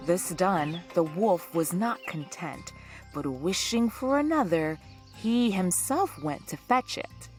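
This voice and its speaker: A woman's voice: lowish voice, lively intonation, takes it slowly, soft background music. A woman hosting a storytelling podcast, reading scripted narration in a true-crime style.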